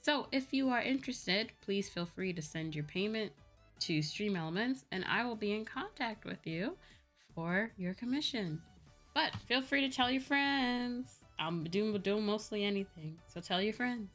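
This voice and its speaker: A woman's voice: medium pitch, expressive, measured pace, a bit bright, soft background music. A woman livestreamer chatting casually to her viewers in a playful, self-deprecating manner. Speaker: livestreamer, VTuber.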